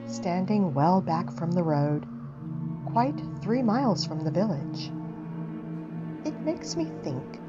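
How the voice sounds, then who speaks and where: Female audiobook narrator, low register, dramatic literary first-person read, music underneath. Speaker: audiobook reader. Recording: dull lo-fi, music behind.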